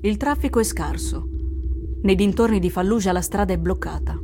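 A woman's voice: medium pitch, normal speed, dark tone, a little hiss or hum, close to an everyday voice. A woman performing a sober, detached narration of war scenes for radio theatre. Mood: sober, watchful.

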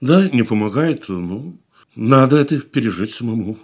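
Radio play: weary consoling man, husky, lively melodic delivery, quiet and reflective. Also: medium pitch, normal speed. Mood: gentle, reflective. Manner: soft, reflective, calls her 'darling' tenderly. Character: weary worldly middle-aged man, gentle, consoling. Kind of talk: studio radio drama acting.

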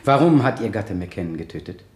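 A man's voice: fairly low pitch, animated, a little fast, darkish tone, lightly acted. A man playing a relentless prosecutor cross-examining a witness in a 1970s German radio drama. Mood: pointed, doubting. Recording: roomy radio play studio sound.

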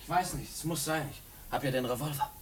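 An actor playing an uneasy, haunted man in a German radio play, speaking in a brooding, halting way. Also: a little high, natural voice. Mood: frightened, agitated. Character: haunted, uneasy husband and condemned man, John.

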